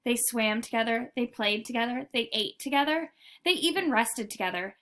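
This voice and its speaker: A woman narrating a children's picture book in an expressive, deliberate storytelling manner. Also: a little high. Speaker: read-aloud storyteller.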